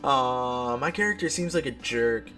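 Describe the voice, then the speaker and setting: A young man hosts a casual visual novel playthrough over faint music. His voice sits at a middle pitch, and he reads aloud in a playful, self-mocking way, now and then stumbling over words. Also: animated, a little slow, sharp tone. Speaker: gaming video host.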